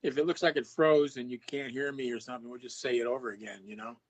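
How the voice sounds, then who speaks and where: A man's voice: medium pitch, steady tone, quick pace, poor audio. Guy on a video call, rambly, keeps restarting his sentences, regular mid voice. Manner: rambling, repeats himself with many restarts. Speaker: paranormal investigator. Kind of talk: casual video-call chat.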